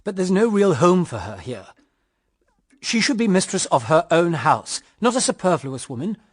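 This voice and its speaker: An actor playing a frank, ardent, well-bred suitor in a period radio drama, forthright and earnest with old-fashioned slang. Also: male voice, high-pitched, sing-song, moderate pace. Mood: determined.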